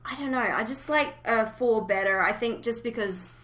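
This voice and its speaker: A woman's voice: fairly high pitch, moderate pace, a bit bright. A woman hosting a casual fan podcast, chatting informally and giving blunt opinions. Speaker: podcast host.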